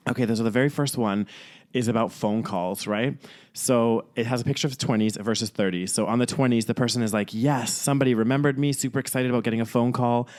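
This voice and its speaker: A man in his thirties with a mid-pitched voice talks at a quick clip. He co-hosts a casual podcast, chatting in an informal, talkative way with plenty of filler "like"s. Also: steady tone, a little mellow. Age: 30s. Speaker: podcast co-host.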